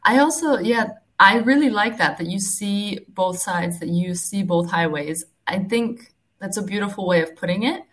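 A woman's voice: mid-range voice, moderate pace, hardly any pauses, a bit bright. A woman in her twenties giving candid, conversational interview answers as an athlete guest, reflecting and thinking aloud. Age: 20s. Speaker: professional tennis player, podcast guest.

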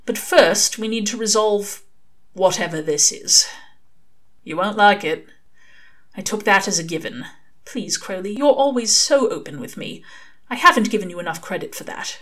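A woman puts on a prim, fussy male angel who presses his point with earnest emphasis. His voice leaps about in a lively, sing-song way, high and animated. He sounds well-spoken and fretful. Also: moderate pace, close to an everyday voice. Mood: gentle.

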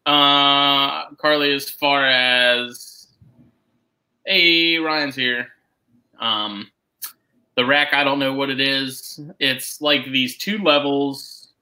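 A man's voice: mid-range voice, fairly even intonation, very slow, pushed and bright, low-quality recording. A man in his twenties from the United States, co-hosting a casual podcast and telling joking, rambling stories about his snakes. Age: 20s. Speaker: podcast co-host, snake keeper.

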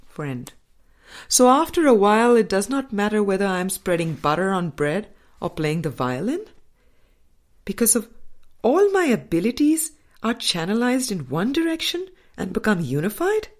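A woman reading a spiritual book as scripted narration, in a measured, deliberate manner with frequent pauses. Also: mid-range voice, big pitch swings. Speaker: audiobook reader.

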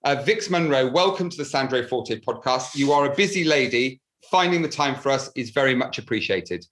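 A man's voice: mid-range voice, lively intonation, a little fast, a bit bright. A man hosting a podcast, reading an enthusiastic, polished introduction of his guest. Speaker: podcast host.

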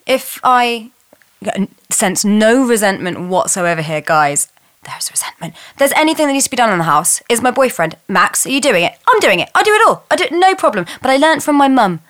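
High-voiced, rambling, self-mocking woman. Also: big pitch swings, brisk, a bit bright. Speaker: podcast guest. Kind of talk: casual comic chat.

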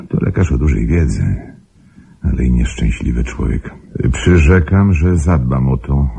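An actor playing a brooding, persuasive wealthy gentleman in a radio play, husky, halting and intensely pleading. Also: full character voice. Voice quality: very deep, gravelly, husky. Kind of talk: Polish radio play acting.

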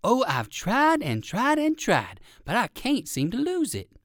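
A man voicing a polite, curious old gentleman rabbit in a children's storybook, expressive and kindly. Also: extremely high pitch, a little slow, no room sound, studio quality, pitched above the performer's own voice, heavily acted. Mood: polite, wistful.

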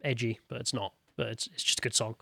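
A man's voice: mid-range voice, steady tone, fast, vocal fry, sharp tone, dry recording, studio quality. A man co-hosting a podcast, talking casually, laughing easily and reading viewer comments aloud. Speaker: podcast co-host.

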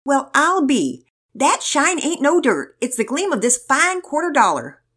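A woman's voice: high voice, sing-song, a little slow, a bit bright, pitched above the performer's own voice, full character voice. A woman voicing a playful, teasing great-uncle with a put-on Southern drawl, folksy and theatrical, in a children's story.

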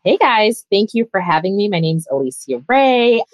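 A woman's voice: mid-range voice, sing-song, normal speed, dry recording. A woman from Jamaica introducing herself as a panel guest on a video call, upbeat and friendly.

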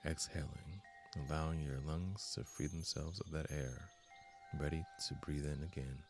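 A man hosting a guided meditation, giving calm, deliberate instructions in measured phrases with long pauses. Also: rumbling low. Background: soft meditation music with chimes.

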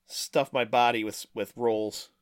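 A man's voice: mid-range voice, fairly even intonation, a little slow. A man from the United States hosting a casual podcast chat, jokey and sweary with plenty of exclamations. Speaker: podcast host.

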